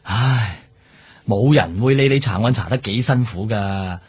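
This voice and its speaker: Husky, grumbling Hong Kong police boss. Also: male voice, fairly low pitch, measured pace. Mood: exasperated. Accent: Hong Kong Cantonese. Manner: sighing, grumbling, animated Cantonese officer. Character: weary, grumbling male police superior, worldly and reluctant. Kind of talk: radio drama acting.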